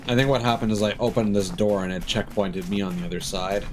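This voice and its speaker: A man's voice: lowish voice, fast. A man giving casual live gameplay commentary, thinking aloud in fragments. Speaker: game streamer. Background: game music.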